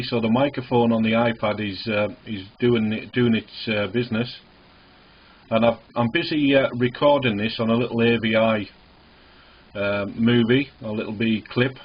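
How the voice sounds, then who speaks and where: Guy chatting on ham radio, husky mid voice, reassuring, muffled little camera recording. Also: mid-range voice. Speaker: amateur radio operator. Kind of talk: casual ham radio contact with call signs. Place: small indoor radio shack. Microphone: small camera near the radio's speaker. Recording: dull, muffled camcorder recording in a radio shack.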